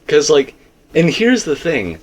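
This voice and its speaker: A man's voice: on the high side, wildly swooping pitch, slow, slight background noise. A man talking casually and speculatively as a host, emphatic and rambling, often repeating himself. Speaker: video game theorist and host.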